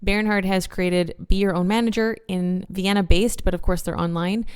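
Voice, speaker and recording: A woman's voice: medium pitch, fairly even intonation, moderate pace, clean tone. Woman host reading an upbeat podcast intro. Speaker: podcast host and classical musician.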